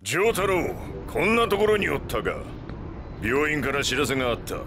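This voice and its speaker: A man voicing a gruff old veteran adventurer in a video game, brisk, with old-fashioned speech. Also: a little high, sing-song, noisy background. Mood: concerned.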